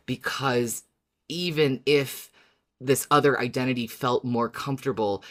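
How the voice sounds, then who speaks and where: Earnest, halting podcast host with a mid-range voice. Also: mid-range voice. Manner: halting, searching for words, earnest. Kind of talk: casual podcast discussion.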